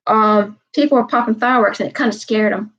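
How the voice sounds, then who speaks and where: A woman's voice: fairly high pitch, fairly quick, a bit bright. Rambling young woman on a lo-fi stream. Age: 20s. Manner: meandering, repeats herself, frequent "um". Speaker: live-stream host. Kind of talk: rambling casual live chat.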